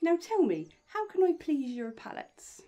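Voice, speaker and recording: A woman's voice: sits high, sing-song, a little slow, dark tone, lo-fi sound. A woman playing a flustered, fawning elf baker in a fantasy roleplay, gushing and deferential. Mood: eager, gushing. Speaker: voice actor. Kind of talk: ASMR fantasy audio roleplay.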